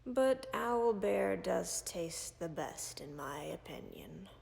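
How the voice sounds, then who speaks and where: A calm, caring female dragon, voiced by a woman, speaks in a deeply gravelly, fry-laden voice. She talks very slowly and softly, often dropping close to a whisper. Her words trail off into a long, lingering reverb. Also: exaggerated voice. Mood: calm, tender. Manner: soft, measured, often near-whispered. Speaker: voice actor in audio roleplay. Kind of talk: audio roleplay for a listener.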